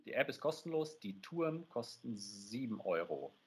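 A man's voice: medium pitch, sing-song, moderate pace, breathy edge. A man in his thirties talking casually as an interview guest over a headset on a video call. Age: 30s. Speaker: interview guest, audio game creator. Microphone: earphone headset on a video call.

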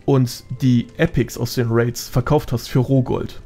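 A man's voice: medium pitch, fairly even intonation, a little slow, warm tone. A man talking casually as a podcast guest, colloquial and sprinkled with English gaming slang.

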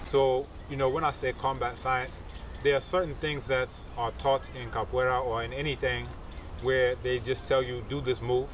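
A man's voice: mid-range voice, level tone, normal speed, distant mic, a little hiss or hum. A man in his thirties explaining as a teacher in an interview, didactic, often checking that the listener understands. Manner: didactic, checks understanding with short tag questions. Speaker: capoeira teacher and researcher. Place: outdoors among leafy trees.

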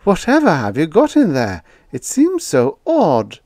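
A man voicing a fussy, nosy older landlady who speaks in a high, prim, prying voice. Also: wildly swooping pitch, unhurried, darkish tone, no room sound, clean studio sound, exaggerated voice.

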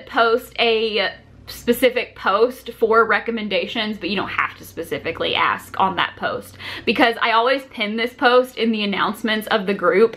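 Bright-voiced young woman chatting casually. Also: fairly high pitch. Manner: informal, filler-heavy with frequent "like". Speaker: video host presenting a reading challenge. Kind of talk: casual chatty explainer to camera. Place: small furnished home room, indoors.

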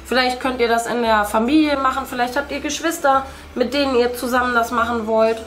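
A woman's voice: a little high, fairly even intonation, brisk, fairly bright tone, soft background music. A middle-aged woman, chatting casually as she paints indoors. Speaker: host, mother. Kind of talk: casual chat while painting. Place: indoor room at a craft table.

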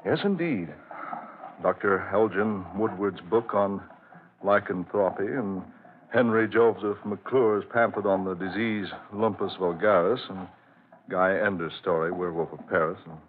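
An actor playing a curious, hearty visiting gentleman in an old-time radio drama, with a genteel, exclamatory manner. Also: deep voice, a little slow, a little hiss or hum. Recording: muffled narrowband old radio recording.

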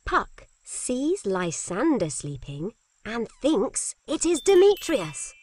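Slow, animated woman storyteller. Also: a little high, no room sound. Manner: clear, simple, expressive. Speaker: narrator. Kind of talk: scripted children's story narration. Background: music.

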